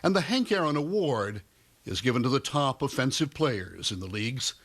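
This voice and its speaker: A man's voice: mid-range voice, expressive, normal speed, a bit bright. A man narrating a scripted television news report.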